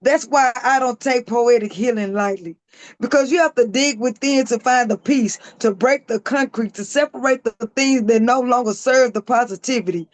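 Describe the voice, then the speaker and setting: Guest author, female, raspy and hoarse, emphatic spoken-word reading, dry close sound. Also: on the high side, normal speed, no room sound. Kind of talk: reading her own poetry aloud.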